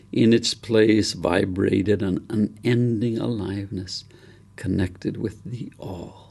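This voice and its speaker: A man reading his own novel aloud as literary narration, solemn and reverent, with deliberate phrasing and dramatic pauses. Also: fairly low pitch, gravelly, a little mellow.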